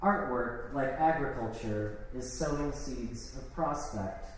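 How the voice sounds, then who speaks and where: A man's voice: mid-range voice, slow. Man reading poetry in an echoey hall. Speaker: poet reading own work. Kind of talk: live poetry reading with casual introductions. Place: live event in an art gallery. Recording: echoey, noisy hall, distant microphone.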